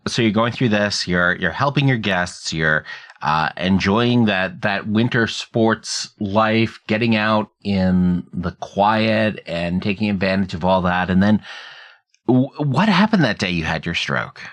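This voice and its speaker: A man's voice: lowish voice, lively intonation, a little slow, fairly bright tone. A middle-aged man, hosting a podcast with scripted introductions and conversational interviewing. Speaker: podcast host.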